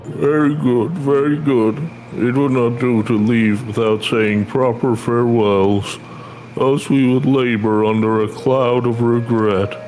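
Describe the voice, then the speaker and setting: A man playing a wise, courteous elderly refugee, reading game dialogue in a formal, unhurried manner. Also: medium pitch, steady tone, a little mellow, soft background music, lightly acted, rough recording. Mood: approving, reflective.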